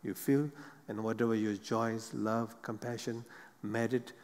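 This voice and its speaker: Soft-spoken monk with halting English, hall reverb. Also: male voice, fairly low pitch, level tone. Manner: halting non-native English with many pauses and "you know". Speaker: Buddhist monk panelist. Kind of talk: unscripted panel talk. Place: seated on a large theater stage.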